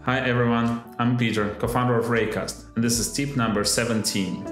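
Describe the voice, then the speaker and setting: A man presents a product tip in a friendly, promotional tone, his voice sounding roomy with echo. Soft music sits quietly underneath. His pitch stays in an ordinary middle range. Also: steady tone, normal speed. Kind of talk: scripted product tip to camera.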